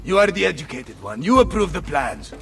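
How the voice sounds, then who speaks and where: High, blustery Italian mercenary captain. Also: male voice, sing-song, brisk, vocal fry, heavily acted. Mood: boastful, hearty. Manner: hearty, bombastic, swinging delivery. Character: boisterous, blustery Italian mercenary captain, middle-aged man. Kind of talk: video game dramatic acting. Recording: long reverb under loud game music.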